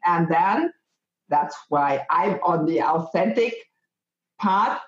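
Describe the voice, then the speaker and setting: A coach hosting an interview, talking in a halting, reflective way and searching for words. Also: mid-range voice, animated. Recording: roomy, dull lo-fi sound.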